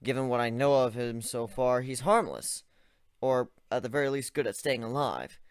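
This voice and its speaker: A man reading a story aloud in the first person, chatty and wry, his pitch swinging widely through the lines. The recording is close and dry, with no room sound. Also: lively intonation, moderate pace, smooth, no room sound. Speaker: story reader.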